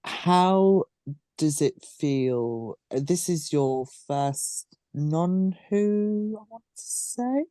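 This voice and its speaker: A man hosting a casual podcast interview, halting as he searches for his words. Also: high-pitched, expressive, mellow, smooth, no room sound, faint music behind. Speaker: podcast host.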